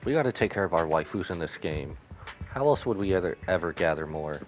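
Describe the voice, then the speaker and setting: Low-voiced man streaming a game over music. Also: lively intonation, a little fast. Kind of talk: live game stream, reading dialogue aloud and commenting. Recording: music behind the voice.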